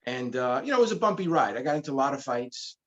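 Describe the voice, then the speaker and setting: A man's voice: mid-range voice, big pitch swings, fast talker, highs cut off. A middle-aged man from the Bronx whose first language is Spanish, telling stories in a casual interview with laughs as he goes. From the Bronx, New York. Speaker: comic book publisher, guest.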